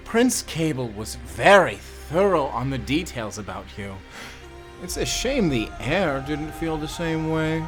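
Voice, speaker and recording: Male actor as sadistic warden, mocking drawl, swinging intonation, unhurried, theatrical gloating, faint music behind. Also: a little high, sing-song. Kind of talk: audio drama acting.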